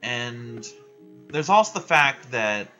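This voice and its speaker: Loud ranting man, bright lo-fi voice. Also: mid-range voice, big pitch swings, slow, faint music behind. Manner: loud, ranting, frequent swearing. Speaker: gaming vlogger. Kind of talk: casual rambling chat while playing a game.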